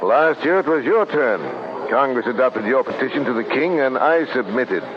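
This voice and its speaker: A man's voice: mid-range voice, lively intonation, moderate pace, muffled recording, natural voice. Man acting a confident colonial delegate, noisy old recording. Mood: quietly confident. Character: shrewd, confident male New England congressional delegate of colonial times. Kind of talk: old-time radio drama acting.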